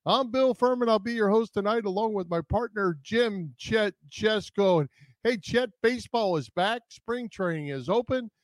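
American male host, fairly high voice. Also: normal speed, no room sound. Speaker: podcast host. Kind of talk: casual sports show presenting.

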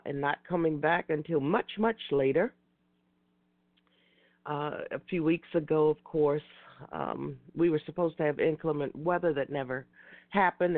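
A woman hosting an internet radio talk show, slightly hoarse and raspy from a cold, speaking in a measured way with repeated greetings. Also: low-pitched, no room sound. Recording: tinny phone line.

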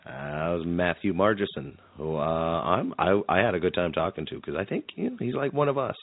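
Very deep-voiced male host, muffled sound. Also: big pitch swings, brisk, a little hiss or hum. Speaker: podcast host. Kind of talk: casual interview and chat.

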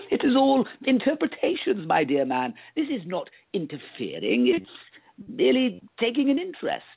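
Guy doing a smug theatrical villain for a radio play, raspy, sounds like a tinny phone line. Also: very high-pitched, wildly swooping pitch, normal speed, exaggerated voice. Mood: smug, mocking. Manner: theatrical, sneering, condescending. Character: smug, scornful male time-travelling villain.

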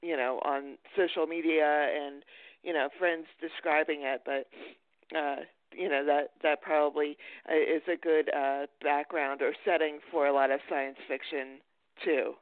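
A man chatting casually as a podcast interviewer, with a halting, rambling delivery. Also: a little high, fairly even intonation, dry recording. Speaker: podcast host. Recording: thin phone-like line.

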